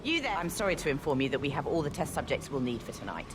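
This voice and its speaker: An actress playing a brisk, polite and officious clinic recruiter in a video game, speaking formally. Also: female voice, low-pitched, very animated, background noise. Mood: courteous, officious. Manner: formal, courteous, businesslike.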